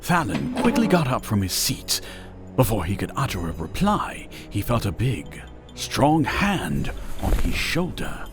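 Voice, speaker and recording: A man's voice: fairly low pitch, huge pitch swings, unhurried, music in the background. A man narrating an audio drama in a scripted, dramatic storytelling style.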